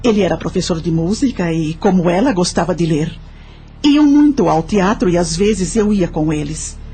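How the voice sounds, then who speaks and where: A woman's voice: medium pitch, mellow, husky. A Brazilian woman playing an older, sorrowful widowed mother who tells her life story in an audio drama. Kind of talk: audio drama first-person narration. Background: music.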